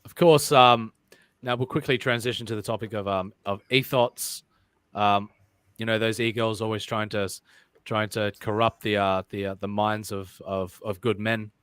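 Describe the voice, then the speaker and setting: A man's voice: lowish voice, fairly even intonation, moderate pace, fairly bright tone, clean tone, no echo. A man hosting a casual live podcast, reading chat comments aloud and rambling through topics with many ums and restarts.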